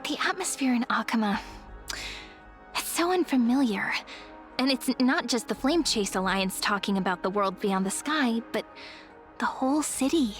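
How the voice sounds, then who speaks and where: An actress playing a gentle, observant traveler in a video game, speaking in a polite, musing way. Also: female voice, a little high, lively intonation, normal speed, soft background music, close to an everyday voice. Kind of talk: scripted video game voice acting.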